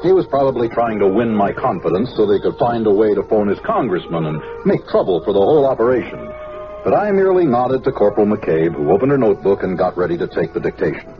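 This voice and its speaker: An actor playing a dutiful, earnest army officer in an old-time radio drama, formal and measured. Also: male voice, on the low side, lively intonation, close to an everyday voice. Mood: measured, narrating. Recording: dull vintage radio recording with music.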